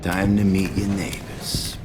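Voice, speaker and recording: A man's voice: sits low, little pitch movement, takes it slowly. An actor playing a cruel, taunting male asylum orderly in a video game. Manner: taunting, gruff. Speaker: game character. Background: game score music.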